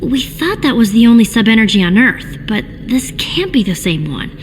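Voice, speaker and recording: A woman's voice: on the high side, sing-song, moderate pace, big-room sound, a little hiss or hum. An actress playing a clever, level-headed teenage girl with an earnest, explanatory delivery in a cartoon video game. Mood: serious.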